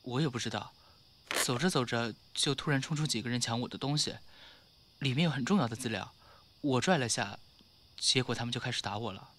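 A man's voice: mid-range voice, a little fast, fairly bright tone, slight background noise, lightly acted. A man playing a mild, reserved young professional in an audio drama, speaking in a polite, understated, placating way. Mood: calm, guarded. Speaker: voice actor in a fan audio drama. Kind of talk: Chinese audio drama acting.

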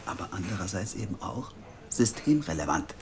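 An actor playing a driven, persuasive investigative team leader in an audio drama, casual yet insistent. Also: male voice, low-pitched, sing-song, moderate pace. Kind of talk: naturalistic German audio drama acting. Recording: clearly noisy background.

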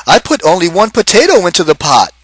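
A man's voice: high voice, very animated, brisk, husky, no echo, pitched above the performer's own voice, full character voice. A man voicing a plain old farmer. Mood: puzzled. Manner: raised, storybook character voice.

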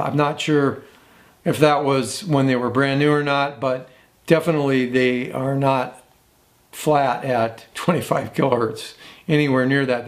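A man in his sixties hosting a casual, unscripted product review in a matter-of-fact way. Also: mid-range voice. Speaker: host of vintage audio review.

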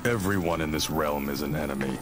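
An actor playing a grim masked ice ninja warrior in a video game cutscene, speaking in a grave, measured, cold way. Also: male voice, very deep voice, music in the background.

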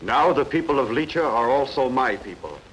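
A man's voice: medium pitch, expressive, brisk, a bit bright, slight background noise, lightly acted, poor audio. An actor dubbing a scheming, imperious king in a sword-and-sandal film, speaking with formal pomposity. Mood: solemn, unctuous.

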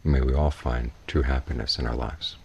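A man teaching in a guided meditation, giving measured, unhurried instructions with long pauses. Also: a bass voice, steady tone, vocal fry, slightly rough. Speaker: Buddhist monk, meditation teacher.

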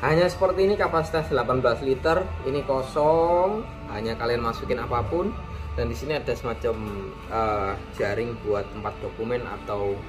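A man's voice: medium pitch, moderate pace. A man running a casual, chatty hands-on product demo in an indoor motorcycle shop, talking to viewers like friends. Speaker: motorcycle gear shop owner demonstrating a product. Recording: echoey room with background music. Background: background music.

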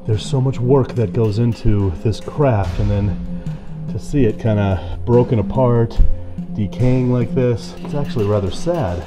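A man's voice: medium pitch, a little slow, over music. A man casually narrating as he explores overgrown ruins outdoors, chatty and joking, with a handheld camera mic. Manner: chatty, curious, jokey. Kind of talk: casual walking vlog narration.

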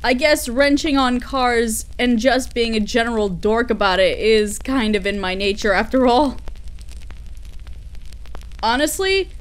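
A woman's voice: fairly high pitch, natural voice, poor audio. A woman playing an affectionate, dorky, easily flustered workaholic mechanic girlfriend in an intimate roleplay audio, giggly and gushing. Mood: flustered, apologetic.

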